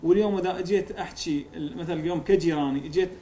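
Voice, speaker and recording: A southern Iraqi man from Amarah talks quickly in a fairly high voice. He is a guest poet who turns measured and drawn-out when he recites. The room rings around him, with a faint hum of ambience behind. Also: fairly even intonation, dark tone, roomy sound. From Iraq. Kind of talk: conversational interview and slow poetry recitation.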